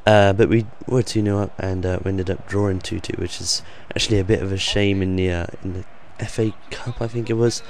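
A young man with a low, croaky voice talks over football game footage, improvising with plenty of ums and uhs. His delivery stays flat and even, with little rise or fall. A faint hiss of background noise sits under the voice. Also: brisk, hardly any pauses. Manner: rambling, hesitant, many ums and uhs. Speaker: gaming channel host. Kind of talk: off-the-cuff voice-over commentary.

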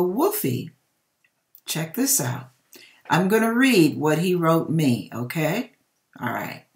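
A middle-aged woman with an American accent reading aloud dramatically and talking directly to viewers in a theatrical, emphatic way, drawing out words, in a home kitchen. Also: fairly low pitch. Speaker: host reading viewers' dream accounts.

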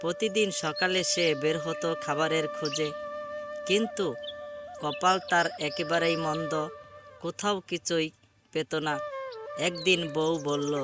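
A man's voice: a little high, steady tone, slow pace, long pauses, music in the background. A man narrating a scripted moral animal story as a storyteller.

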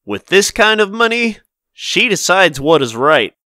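A man's voice: high-pitched, very animated, unhurried, bright, forceful tone, no room sound, clean studio sound, heavily acted. A man playing a cautious, placating flower shop manager in a visual novel, obsequious and emphatic. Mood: gossipy, cautioning.

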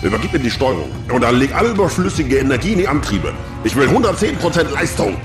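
A man's voice: mid-range voice, very animated, fast talker, lightly acted. An actor playing a cocky, daring male spaceship pilot, brash, wry and commanding, in a science fiction audio drama. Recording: echoey, loud music bed.

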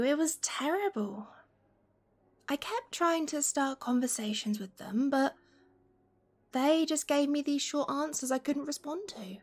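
A woman playing a playful, affectionate young best friend in a gentle, teasing ASMR roleplay. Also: high-pitched, full character voice. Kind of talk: gentle ASMR roleplay, one-sided conversation.

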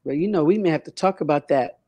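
A woman's voice: low-pitched, fast, warm tone, a little hiss or hum. An older woman hosting a casual panel chat on a video call from home, speaking emphatically and repeating phrases for stress. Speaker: talk show host.

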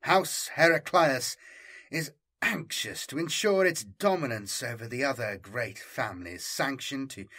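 A man voicing a pompous rogue trader envoy who speaks in formal, courtly, measured phrases for an audiobook. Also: fairly high pitch, fairly bright tone, husky, pitched above the performer's own voice, heavily acted. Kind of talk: audiobook character dialogue.

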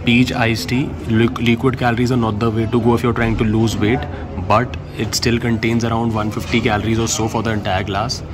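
A man's voice: medium pitch, little pitch movement, brisk. A man hosting a food vlog, explaining the calories of dishes plainly as he films them in a restaurant. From India. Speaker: food vlogger. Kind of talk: casual food review narration. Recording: restaurant background noise.

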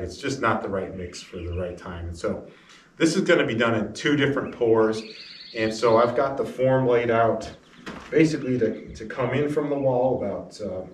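A middle-aged man explaining a building project in casual, hesitant short phrases with frequent pauses. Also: fairly low pitch. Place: pole barn interior and outdoors beside it. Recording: distant mic with faint ambient noise.

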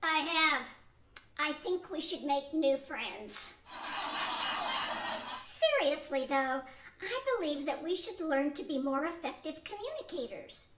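An older woman playing Marge, an earnest, determined wife, in an amateur radio play, reading slowly and earnestly. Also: high-pitched, animated, fairly bright tone, exaggerated voice. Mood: resolute. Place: small studio with a draped backdrop. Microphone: stand mic. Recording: echoey room.

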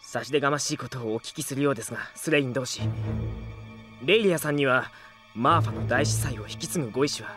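A man playing an earnest, excitable young comrade in an anime audio drama, speaking politely and formally. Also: medium pitch, quick pace, over music, lightly acted. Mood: grave, careful.

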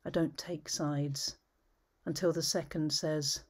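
A woman reading her own poems at home, measured and thoughtful, often trailing into a whisper. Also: low-pitched, steady tone, breathy edge. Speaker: poet. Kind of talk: poetry reading. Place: home study with bookshelves, indoors.

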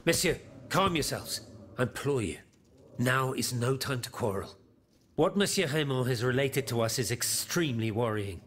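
Casting: actor as peacemaking priest, medium register, earnest and formal, period game scene, faint ambience. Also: male voice, medium pitch, animated, moderate pace, fairly bright tone, slight background noise, natural voice. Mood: imploring, urgent. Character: concerned, peacemaking male French priest. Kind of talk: game cutscene voice acting, period drama.

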